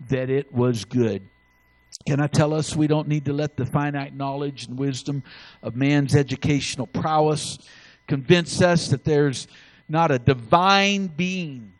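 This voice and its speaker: A middle-aged man delivers an emphatic sermon in a fairly high voice, stopping and restarting his phrases as he reads scripture aloud. The sound is close and dry, with almost no room echo. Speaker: preacher.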